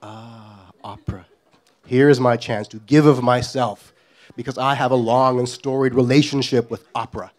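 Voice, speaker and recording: Middle-aged man from Guyana, wry live storyteller, mid-pitched and self-mocking. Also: fairly even intonation, normal speed.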